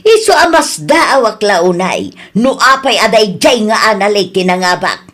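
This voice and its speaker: A woman's voice: medium pitch, very animated, a little slow, a bit bright. A woman with a Filipino accent playing a doting, stubborn old grandmother who complains emphatically in a radio comedy drama. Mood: stubborn, complaining.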